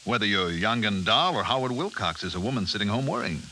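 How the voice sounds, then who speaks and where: An actor playing a casual, probing newspaper reporter who coaxes and humors people, in 1950s radio drama style. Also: male voice, lowish voice, lively intonation, quick pace, bright, forceful tone. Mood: casual, coaxing. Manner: casual, coaxing, questioning. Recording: hissy 1950s radio recording.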